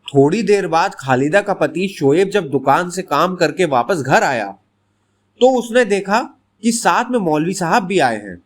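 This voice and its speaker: Lively high-voiced male storyteller. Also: measured pace. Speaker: storyteller, writer and narrator of a bedtime story series. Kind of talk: scripted dramatic story narration.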